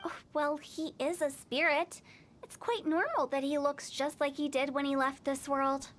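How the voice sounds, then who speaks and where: A woman's voice: high voice, normal speed, a bit bright. A woman playing a quirky, mischievous young funeral parlor director in a video game, playful and teasing. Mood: matter-of-fact.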